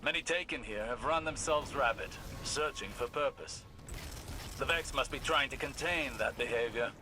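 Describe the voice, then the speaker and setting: A man voicing a learned male sorcerer in a video game, speaking with formal, deliberate diction. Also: medium pitch, noisy background, close to an everyday voice. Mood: measured. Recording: game audio over ambience.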